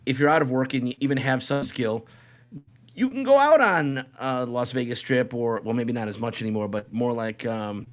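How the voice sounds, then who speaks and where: A man's voice: medium pitch, lively intonation, moderate pace. Rambling man in casual podcast banter. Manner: rambling, repeats phrases. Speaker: podcast co-host.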